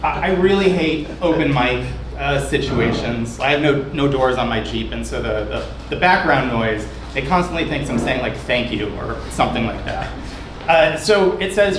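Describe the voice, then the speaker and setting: A man giving a loose, chatty presentation of his app, with lots of fillers and restarts. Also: medium pitch. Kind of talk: casual demo talk. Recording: echoey room with background noise.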